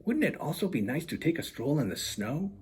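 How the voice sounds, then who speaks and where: A man plays a cheerful, eager female animal from a picture book, pitched up and husky. She speaks in a coaxing, exclaiming way, full of friendly enthusiasm. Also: big pitch swings, brisk, a little mellow, heavily acted.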